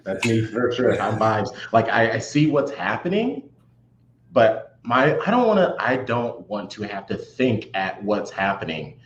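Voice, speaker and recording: A man's voice: on the low side, very animated, a little slow. A man chatting casually as a guest on a podcast. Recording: roomy, echoey, noisy background.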